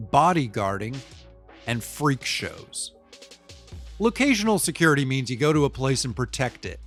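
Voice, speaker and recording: A male actor plays a pragmatic, cynical businessman in an audio fiction monologue. His voice sits a little high for a man. He explains things in a conversational, matter-of-fact and opinionated way, with music underneath. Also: a bit bright.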